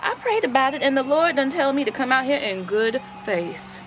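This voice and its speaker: Woman acting a high-voiced gossipy churchgoer. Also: expressive, moderate pace, background noise. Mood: gossipy, scolding. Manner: sassy, opinionated, chatty. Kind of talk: stage-play dialogue for radio.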